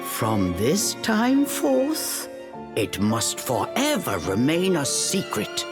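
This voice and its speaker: A woman narrating a fairytale-like video game story in a measured, storybook manner. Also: low-pitched, huge pitch swings, over music.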